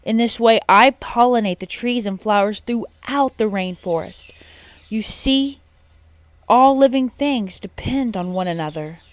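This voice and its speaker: A busy bee from a storybook, put on by a woman reader. It speaks loudly in a raised pitch, earnest and eager to explain. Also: unhurried, no echo, natural voice.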